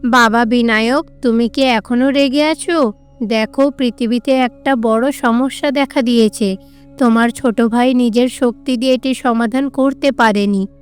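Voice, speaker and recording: A woman acts a gentle mother goddess in a cartoon tale. Her high, smooth voice coaxes and encourages in a soothing way, with soft music behind. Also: steady tone, a little slow, lightly acted. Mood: gentle, encouraging.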